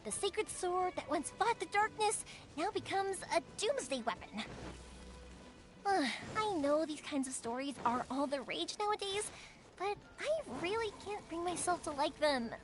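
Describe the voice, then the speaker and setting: Game role: cheerful young woman fireworks maker, high and very lively, chatty, bighearted, music underneath. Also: measured pace. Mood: wistful. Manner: bubbly, expressive, casual and chatty. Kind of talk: animated video game voice acting. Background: background music.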